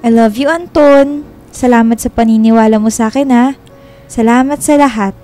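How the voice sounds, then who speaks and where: A woman playing a sweet, playful young girlfriend in a radio romance drama, with a Filipino accent and a coy, affectionate, expressive delivery. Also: fairly high pitch, soft background music, lightly acted. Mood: tender, grateful. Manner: coy, expressive, drawn-out endearments.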